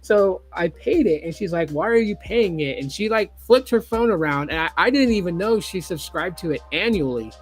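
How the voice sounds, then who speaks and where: Host, man, 40s, fairly high voice, loose and profane, faint music underneath. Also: lively intonation, moderate pace, hardly any pauses, breathy edge. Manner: casual, swears freely, acts out quotes. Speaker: YouTube host. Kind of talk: casual podcast chat.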